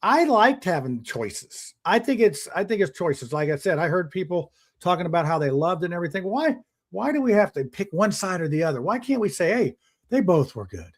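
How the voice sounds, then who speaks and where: A man's voice: high voice, very animated, a little fast. A man hosting a casual panel talk show, rambling with many restarts and frequent chuckles. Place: outdoors in the sun.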